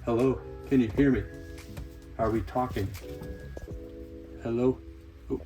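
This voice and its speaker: A man's voice: mid-range voice, fairly even intonation, slow, dull audio. A man hosting a DIY vlog, chatting informally and rambling a little as he works hands-on. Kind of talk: casual hands-on vlog chat. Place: in and around a camper van. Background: music.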